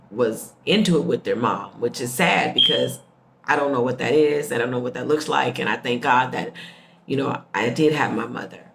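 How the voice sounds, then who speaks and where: A middle-aged American woman from the Midwest telling personal stories casually, with expressive emphasis and repeated phrases. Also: a bass voice, gravelly, slight background noise. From Midwestern United States. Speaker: host. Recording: roomy, echoing sound.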